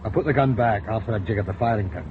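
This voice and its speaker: An actor playing a hesitant detective reporting to his boss, halting and careful. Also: fairly low pitch, natural voice. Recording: dull old radio broadcast with faint noise.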